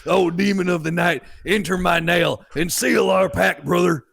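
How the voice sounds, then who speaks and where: A man voicing a gloating evil anime sorcerer with wrestler bravado, taunting and theatrical in a gravelly, husky voice. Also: sits high, a bit bright, full character voice. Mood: gloating menace. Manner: taunting, theatrical, drawn-out menace.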